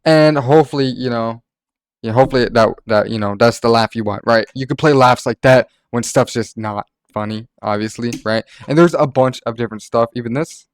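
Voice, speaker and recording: A man's voice: mid-range voice, a little fast, slight background noise. A man narrating a casual gaming tutorial in an informal, rambling way, like a content creator talking to followers.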